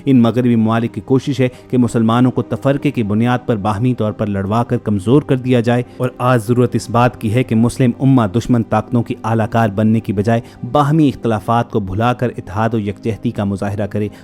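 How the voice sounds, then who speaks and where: A man's voice: mid-range voice, steady tone, fairly quick, hardly any pauses, darkish tone. A man reads a scripted documentary report in a formal, literary manner, addressing listeners directly. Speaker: narrator of a video report. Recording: clear music bed under narration. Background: background music.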